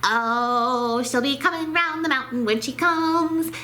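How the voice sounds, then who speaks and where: A woman's voice: high voice, slow pace, bright, forceful tone, voice pushed up, exaggerated voice. A woman voicing a small, cheerful, helpful male mouse in a chirpy, sing-song way for a children's storybook. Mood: cheerfully singing.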